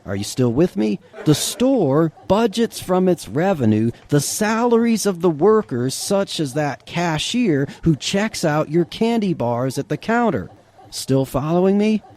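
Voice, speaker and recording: A man's voice: on the high side, very animated, a little slow, darkish tone. A man delivering a scripted, sarcastic monologue, chuckling and asking the listener rhetorical questions. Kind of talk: scripted monologue with mock outrage.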